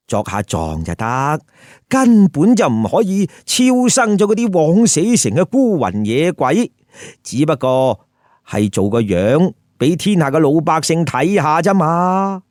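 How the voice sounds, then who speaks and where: A man's voice: fairly high pitch, very animated, unhurried, darkish tone, no room sound, clean studio sound. A man telling a comic story in a theatrical, emphatic way with rhetorical questions. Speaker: storyteller, host. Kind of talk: lively comic Cantonese storytelling.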